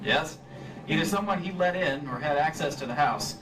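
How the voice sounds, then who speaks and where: An actor playing a cool, wry hard-boiled insurance investigator, narrating and talking in an old-time radio drama staged live. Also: male voice, on the high side, expressive, normal speed, noisy background, natural voice. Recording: distant live stage recording.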